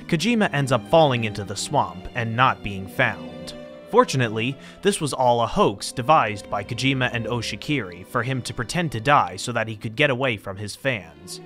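A man's voice: mid-range voice, a bit bright. A man narrating a scripted recap of a story as its storyteller.

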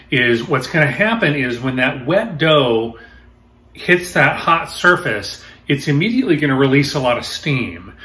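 Man demonstrating bread in his kitchen. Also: mid-range voice. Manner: relaxed, explains each step as he works. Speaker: cooking show host. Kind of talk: casual cooking demonstration. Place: home kitchen, indoors.

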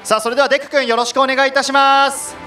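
Bright, high-pitched male event MC. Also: little pitch movement, very fast, faint music behind. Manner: polite, emphatic announcer delivery. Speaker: stage event host. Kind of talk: lively event MC hosting. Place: cinema stage.

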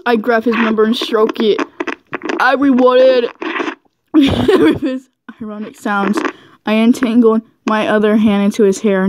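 Higher-voiced woman reading fan fiction, unhurried. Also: slight background noise, low-quality recording. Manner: giggly, often stumbling over the text. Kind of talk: reading fan fiction aloud, goofing around.

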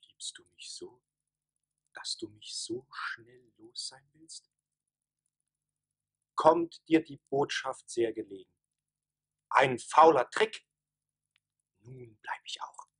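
An actor playing a hot-headed, jealous, sulky townsman in a radio play, excitable and indignant. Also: medium pitch, slow pace, long pauses, slightly rough, heavily acted, rough recording. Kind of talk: German radio play acting.

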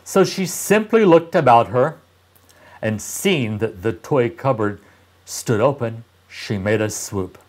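A man in his sixties reading a children's story aloud expressively, with deliberate pauses and dramatic emphasis. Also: medium pitch, lively intonation. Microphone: lapel mic.

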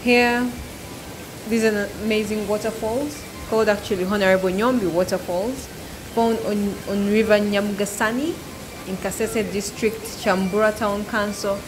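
A woman's voice: mid-range voice, steady tone, normal speed, noisy background. Upbeat woman travel vlogger. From Uganda. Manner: upbeat, chatty, addresses viewers directly. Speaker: travel vlogger, channel host. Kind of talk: travel vlog to camera. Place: outdoors by a waterfall. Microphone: selfie phone at arm's length.

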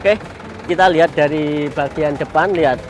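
A man's voice: on the high side, normal speed. A man in his thirties casually showing off a minibus outdoors, chatting to his viewers as friends. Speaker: vlogger. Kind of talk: casual walkaround vlog. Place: outdoor car show parking lot. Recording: outdoor noise behind voice.

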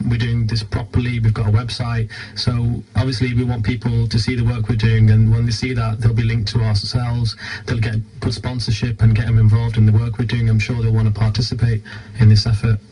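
A man's voice: mid-range voice, flat delivery, a little fast, mellow, breathy edge, poor audio. A British man giving an informal radio interview as a guest, explaining things earnestly. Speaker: charity team leader, radio interview guest.

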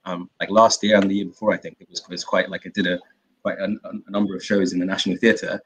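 A young man hosting an interview on a video call, asking his question quickly and with lots of "like". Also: deep voice, level tone, husky, poor audio. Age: young adult. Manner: rapid, filler-heavy questioning. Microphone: webcam on a video call.

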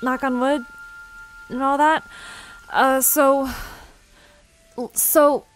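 A woman's voice: sits high, flat delivery, extremely slow, low-quality recording. A woman playing an anxious, impatient but practical young adventurer in naturalistic audio drama, hesitant and exasperated. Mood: anxious, reasoning. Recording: music under the voice.

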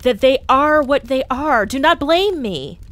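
A woman voicing a solemn, stern ghostly spirit who speaks in measured words. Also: high voice, expressive, a bit bright, no room sound, voice pushed up.

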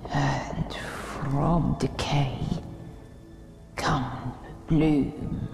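A woman playing an ancient, grieving forest spirit in a video game, whispery and creaky, speaking in cryptic archaic phrases. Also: very deep voice, steady tone, drawn-out words, a little mellow, heavily acted. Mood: mournful, solemn. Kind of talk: video game cinematic acting. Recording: echoing, long reverb, under game music.

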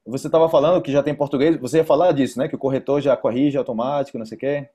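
A man's voice: mid-range voice, steady tone, very fast, warm tone, slightly rough, highs cut off. A young man with a Brazilian accent tutoring informally over a video call, explaining and repeating points for emphasis. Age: young adult. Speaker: Portuguese teacher. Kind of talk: informal one-to-one online tutoring. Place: indoor room, video call.

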